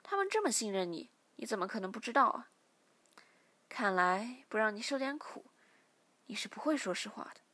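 A woman's voice: a little high, lively intonation, fairly quick, pauses often, pushed and bright. Give me a woman doing a hard male squad captain interrogating a captive in a spoken story, clipped and menacing, barely shifted from her own voice. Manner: curt, coldly menacing.

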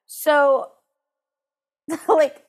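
A woman with a somewhat high voice chats casually as a podcast co-host. She is animated and prone to giggling. Her sentences are loose and full of "like". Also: drawn-out words. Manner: animated, giggly, uses "like" constantly. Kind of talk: casual comedic chat, recapping and reading aloud.

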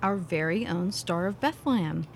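A woman's voice: fairly low pitch, animated, normal speed, very noisy. A woman playing a friendly, matter-of-fact scientist in an audio drama, speaking casually.

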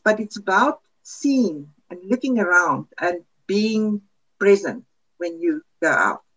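Woman with a lo-fi sound. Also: medium pitch. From South Africa. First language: Afrikaans. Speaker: podcast panelist. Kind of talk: casual chat.

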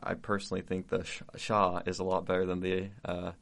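Young male podcast host, very deep and gravelly, halting and encouraging. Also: completely flat delivery. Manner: warm, supportive, many false starts. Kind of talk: casual conversation.

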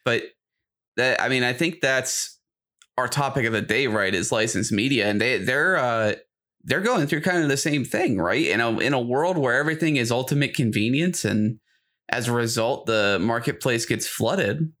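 A man's voice: medium pitch, lively intonation, moderate pace, a bit bright. A man talking casually as a podcast co-host. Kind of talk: casual chat.

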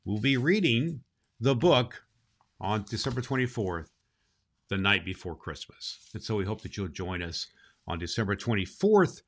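A man's voice: fairly low pitch, lively intonation, moderate pace. A man playing a jolly, kindly old Santa Claus, reading and hosting for children with emphatic affection. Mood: cheerful, affectionate. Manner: emphatic, affectionate, addressing boys and girls. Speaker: storybook reader and show host.

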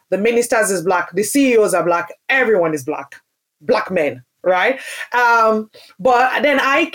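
A woman from Nigeria answering interview questions, speaking earnestly and emphatically about her career, often restarting her phrases. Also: medium pitch. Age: adult, parent. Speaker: podcast guest, business founder. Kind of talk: interview answer, personal story.

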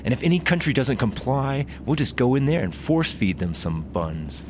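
A man playing an excitable eager traveler. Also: moderate pace, background noise, close to an everyday voice. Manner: excitable, pitch jumps high when excited. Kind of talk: comedy audio drama acting.

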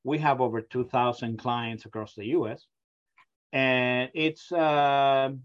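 A middle-aged man from Argentina with an Argentine Spanish accent, a software company founder who talks in a hesitant way with many fillers in a remote interview. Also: medium pitch, fairly even intonation, takes it slowly, muffled recording. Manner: hesitant, many ums and uhs.

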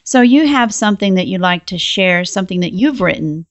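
A woman's voice: mid-range voice, animated, brisk, dry recording, muffled recording. A woman hosting an interview, asking questions in an encouraging, affirming way. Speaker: interview host.

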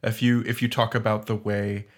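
A man on a roundtable panel, talking informally and thinking aloud in a halting way. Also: lowish voice, little pitch movement. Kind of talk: roundtable discussion.

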